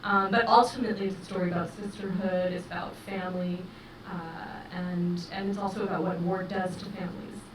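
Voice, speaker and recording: A middle-aged woman, a film director speaking English as her first language, giving measured, thoughtful answers with many fillers in a festival interview. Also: fairly low pitch, steady tone. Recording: roomy, distant mic with noise.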